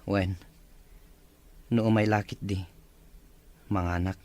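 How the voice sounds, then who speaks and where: An actor playing a grumbling, demanding husband in a radio-style family drama. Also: male voice, deep voice, steady tone, takes it slowly, a little mellow.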